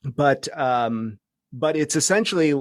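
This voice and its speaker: Male, middle-aged, mid-pitched voice, close dry sound. Informal podcast guest who talks in loose, winding thoughts. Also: animated, unhurried, dry recording. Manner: informal, discursive. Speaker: interview guest, podcast producer. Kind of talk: casual conversational interview.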